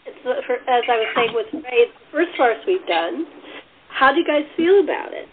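Woman hosting a casual cast chat, higher voice, kinda muffled remote audio. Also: lively intonation, fairly quick, a little hiss or hum. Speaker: host and radio playwright. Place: at home on a remote call.

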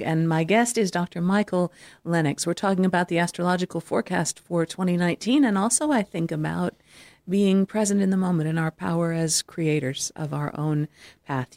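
A dry, close studio sound frames a woman with a slightly low voice. She hosts an interview show and talks in a conversational radio style. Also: a little mellow, dry recording.

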